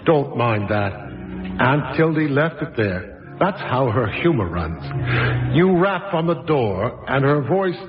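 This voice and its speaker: A man's voice: medium pitch, very animated, slow pace, dull audio. A man narrating a radio drama in a wry storytelling manner.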